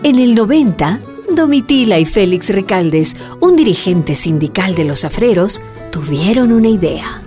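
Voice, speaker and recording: A woman's voice: medium pitch, expressive, unhurried, darkish tone, soft background music. A woman reading scripted narration for a radio drama as its storyteller.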